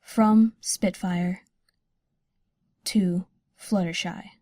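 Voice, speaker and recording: A woman narrating from a script in short, measured announcements. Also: on the low side, steady tone, mellow, dry recording, studio quality.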